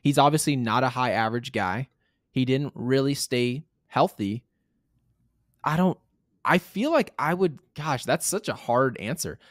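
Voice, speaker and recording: A man's voice: mid-range voice, animated, measured pace, no room sound, studio quality. A man in his thirties co-hosting a casual sports talk show, speaking bluntly and emphatically, often repeating himself to make a point. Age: 30s.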